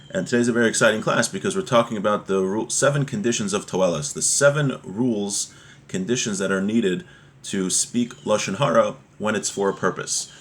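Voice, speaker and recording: A man's voice: fairly low pitch, husky. A man teaching an informal class on religious law, explaining a text step by step with everyday examples.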